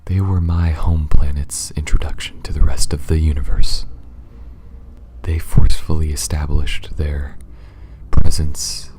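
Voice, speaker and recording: A man playing a gentle, apologetic alien pilot in an ASMR audio roleplay, speaking softly, often near a whisper, in a careful, halting, formal and reassuring way. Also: flat delivery. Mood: sympathetic, reassuring. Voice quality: soft, very low, breathy, often near whisper. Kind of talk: ASMR audio roleplay, scripted monologue addressed to listener.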